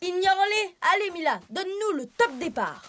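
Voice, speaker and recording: Very high, eager cartoon boy cub. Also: very animated, normal speed, a bit bright, heavily acted. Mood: friendly. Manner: eager, playful. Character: cheerful, friendly young boy leopard cub hero. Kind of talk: animated series dub. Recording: music behind the voice.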